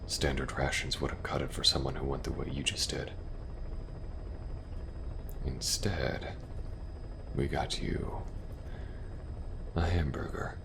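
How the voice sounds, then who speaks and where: A battle-worn military commander, acted by a man, speaks in an extremely low, gravelly murmur. He is gentle and reassuring as he cares for his soldier, often near a whisper. It is a cinematic ASMR roleplay with a steady noisy vehicle bed behind the voice. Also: full character voice. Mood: relaxed, wry. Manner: slow, soft, reassuring, with pauses.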